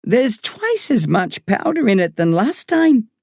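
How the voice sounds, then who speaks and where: A reader voicing a blunt but kind woman doctor with an Irish accent, earthy and warm in her talk. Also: medium pitch, very animated, measured pace, creaky, breathy edge, dry recording, studio quality, voice pushed up, full character voice. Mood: wry. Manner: salty, earthy talk said with warmth. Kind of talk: audiobook character reading.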